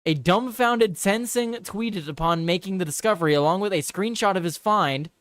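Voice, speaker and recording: A man's voice: sits high, moderate pace, fairly bright tone, dry recording. A young man hosting a casual live show, chatty and reactive, swearing and repeating himself as he reads headlines. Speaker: livestream host. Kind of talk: casual live news quiz chat.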